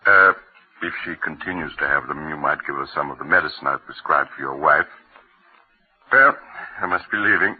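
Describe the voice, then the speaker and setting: A man playing a calm, kindly family doctor and storyteller in an old-time radio drama, measured and reassuring. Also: extremely low pitch. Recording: muffled, narrow-band old radio recording.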